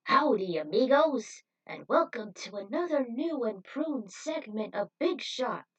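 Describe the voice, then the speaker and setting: A woman's voice: a little high, sing-song, measured pace, slightly rough, lo-fi sound, pitched above the performer's own voice, exaggerated voice. A woman voicing a showy cowboy host of a TV bounty show, with emphatic announcer delivery. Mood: showy, upbeat. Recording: voice distant from the mic.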